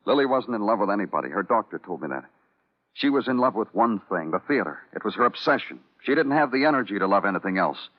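Casting: suspicious police detective in old radio drama; lowish pitch, brisk, terse, insinuating delivery. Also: male voice, big pitch swings, husky. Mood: accusing. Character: hardboiled, dogged male police detective, dry and suspicious. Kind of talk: classic radio noir acting. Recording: slightly dull vintage radio recording.

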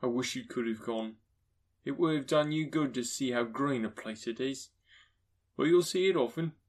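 A man voicing a kind, cheerful poor clerk who is a grieving father, speaking gently and haltingly. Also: medium pitch, lightly acted. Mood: gentle.

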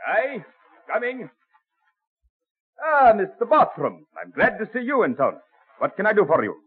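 Husky, affable bookseller, tinny radio. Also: male voice, high-pitched, big pitch swings, exaggerated voice. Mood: welcoming. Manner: affable, measured, bookish. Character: friendly colonial Philadelphia bookseller, man, measured and helpful. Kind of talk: old-time radio drama acting. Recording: tinny band-limited old radio recording.

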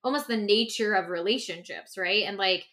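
Bright-voiced woman, casual podcast co-host. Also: medium pitch, moderate pace. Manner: animated, informal, peppers talk with "like". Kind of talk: casual reflective podcast conversation.

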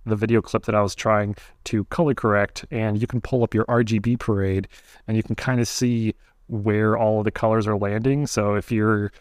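A man's voice: fairly low pitch, level tone, moderate pace, clear, resonant tone, dry recording. A man hosting a casual podcast, talking in a loose, chatty way with a nasal voice. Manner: chatty, loose, many "like" fillers. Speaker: podcast host. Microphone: close studio mic angled up.

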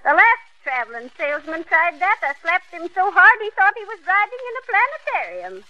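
An actress playing a sharp-tongued, wisecracking young American woman in 1940s radio comedy style. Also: extremely high pitch, big pitch swings, brisk, dry recording, dull audio, exaggerated voice. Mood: sassy, scornful. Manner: brassy, wisecracking.

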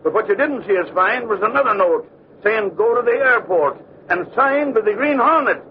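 A hissy, tinny vintage radio recording presents a take-charge police sergeant. His voice is high for a man. He is brusque and insistent, barking in folksy tough-cop fashion. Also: lively intonation, moderate pace. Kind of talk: old-time radio drama acting.

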